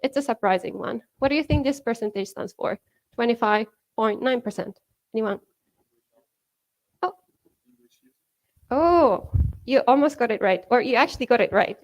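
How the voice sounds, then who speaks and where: A woman's voice: a little high, expressive, moderate pace, pauses often. A woman from Japan with a Japanese accent, giving a conference talk in a chatty way full of fillers, laughing now and then. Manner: chatty, many fillers like "you know" and "like", laughs often. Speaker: conference speaker. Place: conference hall.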